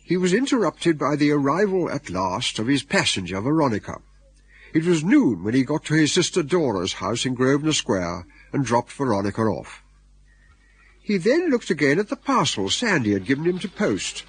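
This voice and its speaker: A man's voice: medium pitch, sing-song, moderate pace, husky, dry recording. A man with an English accent narrating a radio drama in a wry, droll storytelling manner.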